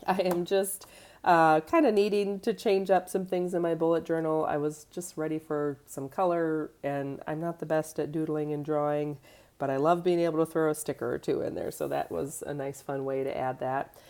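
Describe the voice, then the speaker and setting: A woman's voice: low voice, steady tone, moderate pace, darkish tone. A woman who is getting over a cold, chatting casually at home as she shows her planner, with fillers and small self-corrections. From United States. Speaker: vlogger. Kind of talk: casual show-and-tell. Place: home kitchen.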